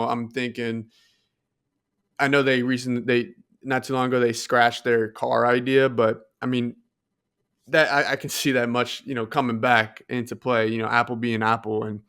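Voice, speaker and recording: Smooth, even-toned man in casual discussion. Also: medium pitch. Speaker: podcast co-host.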